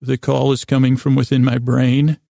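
A man hosting a bedtime podcast in a gravelly, creaky voice, with a goofy, meandering, halting monologue that keeps interrupting itself. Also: medium pitch, little pitch movement, mellow, no echo, studio quality. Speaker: podcast host.

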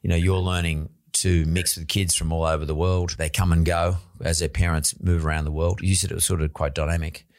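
A man speaks as a podcast host in an interview setting. His voice sits low, and the sound is dry with very little room in it. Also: flat delivery, a little fast, hardly any pauses, no echo.

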